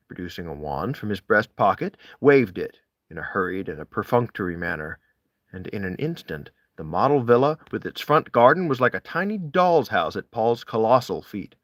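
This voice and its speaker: A man reading classic literary prose aloud, deliberately, with dramatic rises and falls in his phrasing. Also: lowish voice, fairly bright tone, no echo, studio quality. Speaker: audiobook-style reader of classic essays. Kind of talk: literary read-aloud, expressive scripted narration.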